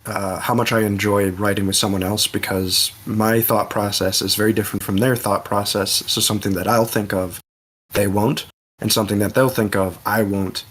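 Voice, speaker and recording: A man's voice: on the low side, a bit bright, husky, low-quality recording. A man on a casual discussion panel, speaking reflectively and hesitantly as he thinks aloud. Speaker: podcast panelist.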